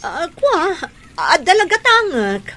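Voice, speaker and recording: An actress with an Ilocano accent playing a stubborn, defensive gambler who wheedles and pleads in a radio drama. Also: female voice, very high voice, big pitch swings, slow pace, pushed and bright, full character voice. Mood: wheedling.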